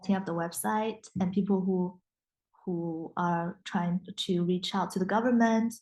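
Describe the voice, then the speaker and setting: A woman's voice: lowish voice, fairly even intonation, unhurried, a little mellow. Hesitant young woman, Mandarin native speaker. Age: young adult. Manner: hesitant, many restarts and fillers. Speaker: guest. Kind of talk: casual interview.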